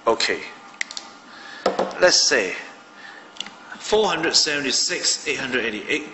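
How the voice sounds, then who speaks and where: A man's voice: medium pitch, lively intonation, slow, big-room sound, over music, rough recording. A man from Malaysia casually demonstrating a radio at his desk, thinking aloud with many fillers. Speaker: hobbyist radio reviewer. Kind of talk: casual hands-on product demo. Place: indoor room at a desk.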